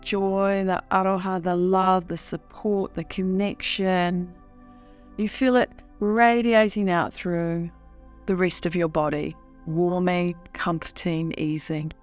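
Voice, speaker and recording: A woman leading a guided meditation in a soothing, unhurried way with long pauses. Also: fairly low pitch, steady tone, clear, resonant tone, soft background music.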